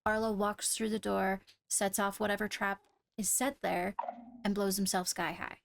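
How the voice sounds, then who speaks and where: A woman playing a guarded young royal in an improvised Minecraft roleplay, speaking in a measured, deliberate way as she reasons aloud. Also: medium pitch, level tone, gravelly, dry recording, lightly acted. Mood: serious, deliberating.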